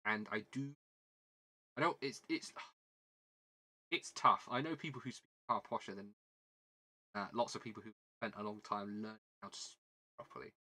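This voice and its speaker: A man's voice: fairly low pitch, steady tone, normal speed, pauses often, pushed and bright. A man with a southern British English accent chatting casually as a livestream host, rambling and self-deprecating, often repeating his words. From southern England. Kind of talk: casual livestream chat while building a model kit.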